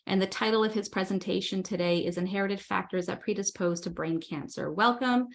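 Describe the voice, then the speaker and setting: A woman hosts a webinar over a video call. Her voice sits at a middle pitch, and she reads the introduction in a friendly, slightly halting manner with some fillers. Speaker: webinar host. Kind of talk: reading a speaker introduction.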